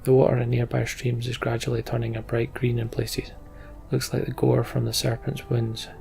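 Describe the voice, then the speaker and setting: Scottish male let's play host, storytelling narration and casual commentary, very creaky voice at medium pitch. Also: completely flat delivery, normal speed, darkish tone, faint music behind. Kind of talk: game commentary and dramatic narration of on-screen text.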